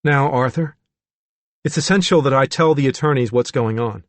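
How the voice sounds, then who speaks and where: A man's voice: medium pitch, moderate pace, dry recording, pitched above the performer's own voice, natural voice. A man reading an audiobook, voicing a woman psychologist who reasons with an earnest, persuasive manner.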